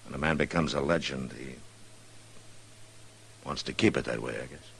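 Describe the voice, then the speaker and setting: An actor playing a steady, concerned Old West marshal in a 1950s radio western, plain-spoken and laconic. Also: male voice, a bass voice, moderate pace, vocal fry. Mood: somber. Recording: noisy old radio drama recording.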